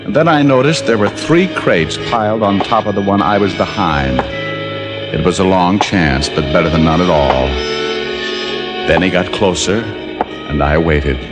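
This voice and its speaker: A man's voice: low-pitched, a little slow. An actor playing a dry, wisecracking private detective who narrates his own case, in 1950s radio drama style. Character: wisecracking male private eye. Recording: old radio recording with loud music.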